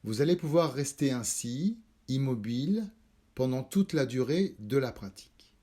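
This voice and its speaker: A man leading a guided yoga nidra meditation, calm and measured, instructing in short spaced phrases. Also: medium pitch, husky. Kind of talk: slow guided relaxation meditation.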